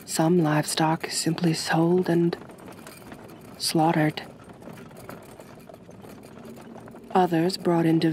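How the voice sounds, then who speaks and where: A woman voicing a gentle, possessive aristocratic vampire mistress in an ASMR roleplay, soft-spoken, formal and soothing. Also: sits low, slight background noise. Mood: reassuring.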